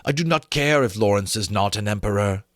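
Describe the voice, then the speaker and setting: A man's voice: lowish voice, a little fast, dry recording, clean studio sound, lightly acted. A man voicing a proud, loyal, indignant young dragon with formal speech in a dramatic storybook reading. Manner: bristling, formal.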